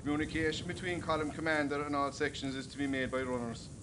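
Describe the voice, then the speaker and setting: An actor playing a dutiful rebel volunteer reciting orders in a radio play. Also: male voice, a little high, little pitch movement, fast talker, noisy background, lightly acted.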